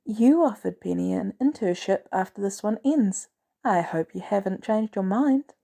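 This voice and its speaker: Woman narrator playing a caring, composed female boss in a story, gently teasing, relaxed medium-pitched voice. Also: normal speed, vocal fry. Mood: scheming. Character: poised, caring female executive, dryly scheming. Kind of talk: storybook character dialogue.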